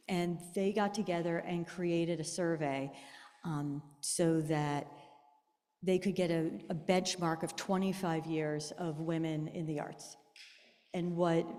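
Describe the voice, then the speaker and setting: A woman with a small, soft voice giving a chatty presentation to a live audience, with lots of fillers. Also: fairly low pitch. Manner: chatty, many fillers, self-deprecating. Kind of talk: casual talk to a live group. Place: large room with audience. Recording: long reverb in a large room.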